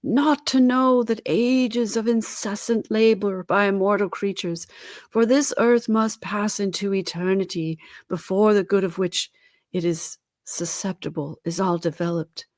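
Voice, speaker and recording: A mournful ghost of a dead businessman, acted out by a woman for a storybook reading. The words are drawn out very slowly, swelling into anguished wails and sinking into breathy whispers. The sound is close and dry. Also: medium pitch, steady tone, a little mellow, no room sound, pitched above the performer's own voice, lightly acted. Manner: slow, drawn-out wailing delivery with breathy whispers.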